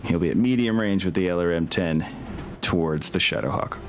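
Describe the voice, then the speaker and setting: A man with a low voice runs a tabletop wargame as game master. He talks casually and thinks out loud while working through the numbers. Music plays clearly behind his voice. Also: brisk. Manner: thinks aloud while tallying rolls.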